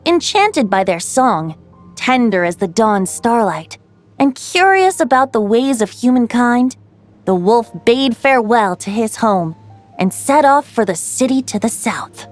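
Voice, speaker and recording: A woman's voice: a little high, expressive, a little slow, soft background music. A woman playing a playful, youthful bard in a video game, telling tales in a lilting, theatrical, poetic way. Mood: lyrical storytelling. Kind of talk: video game voice acting, storytelling.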